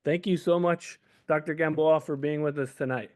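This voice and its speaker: A man's voice: fairly high pitch, steady tone, moderate pace. A man hosting a workshop on a video call, reading a formal speaker introduction carefully, with small slips. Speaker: workshop host.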